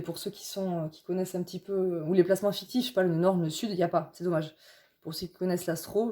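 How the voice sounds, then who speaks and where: A young woman from France with a hoarse voice from a cold, chatting casually and rambling with many fillers as she presents a tarot deck. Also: fairly low pitch, mellow. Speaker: tarot reader. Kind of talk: casual tarot vlog chat.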